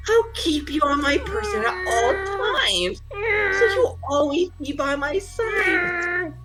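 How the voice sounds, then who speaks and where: A woman's voice: very high voice, lively intonation, slow pace. A woman joking around in casual gaming commentary with a playful, theatrical delivery. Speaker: video game playthrough participant. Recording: loud game music behind voice. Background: video game music.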